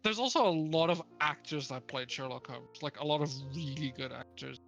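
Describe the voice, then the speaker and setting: Woman co-host, low voice, casual rambling talk, soft music behind. Livestream chat. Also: a little fast, vocal fry, muffled recording. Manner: rambling, searches for names, laughs often. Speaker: book club co-host.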